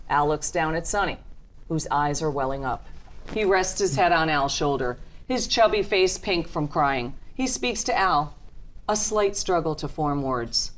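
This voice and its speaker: A woman gives scripted narration, reading out stage directions at a table read. Her pitch sits a little on the low side. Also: a little hiss or hum, rough recording.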